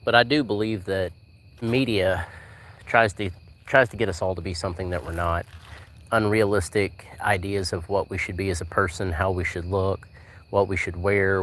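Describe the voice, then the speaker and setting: A man's voice: low-pitched, fairly bright tone. A man in his forties chatting on a casual live stream while walking outdoors at night, easygoing and rambling, answering viewers by name. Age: 40s. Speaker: livestream host.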